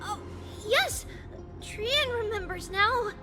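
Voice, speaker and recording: A woman's voice: very high voice, expressive, over music, exaggerated voice. A woman voicing a small, innocent, childlike girl in a video game. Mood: bright, eager. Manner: childlike, simple halting phrases. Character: tiny childlike girl, innocent, timid, speaks in third person. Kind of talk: scripted video game drama acting.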